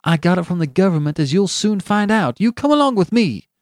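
Storybook boy, indignant and self-important, high piercing treble, barking orders; played by a man. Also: big pitch swings, a little fast, darkish tone, dry recording, studio quality. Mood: defiant. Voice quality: shrill treble. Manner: ferocious, commanding.

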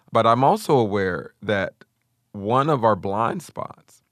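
A man, a research fellow, explaining his plans in a measured way during a podcast interview. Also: lowish voice, vocal fry, dry recording, clean studio sound.

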